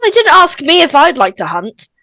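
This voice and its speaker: A woman playing a sassy, blunt high-school girl in a table read of a slasher script. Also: normal speed, dry recording, clean studio sound, full character voice. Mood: indignant. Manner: feisty, animated.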